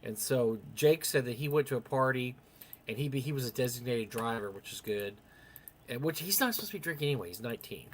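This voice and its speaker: A man's voice: medium pitch, quick pace, a little hiss or hum. An older man with a young-sounding voice co-hosting a live stream, rambling through casual anecdotes and acting out bits of dialogue. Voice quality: young-sounding for his age. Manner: chatty, animated retelling with quoted dialogue.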